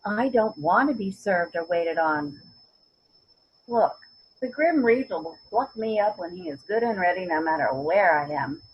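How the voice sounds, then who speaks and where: A woman's voice: on the low side, expressive, unhurried, dull audio, slight background noise. A woman acting a stubborn, principled, wry elderly mother in a readers theater drama, often searching for words and then stressing them. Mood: resolute. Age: older. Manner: word-searching, emphatic, wry.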